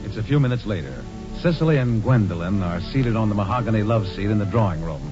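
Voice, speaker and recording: A man's voice: lowish voice, expressive, fast talker. A man narrating as an announcer in scripted 1940s radio style. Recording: muffled 1940s radio broadcast with music. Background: music.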